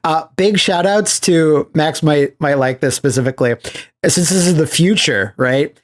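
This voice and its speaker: A man hosting a podcast in casual chat, recapping things as an animated storyteller who slips into acted-out quotes. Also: on the high side, measured pace. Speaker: podcast host.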